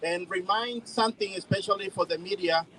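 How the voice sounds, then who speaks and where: A man's voice: high-pitched, fairly quick, sharp tone, slight background noise, rough recording. A man speaking at an outdoor press conference, firm and urgent. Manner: firm, urgent call to action. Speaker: TPS organizer.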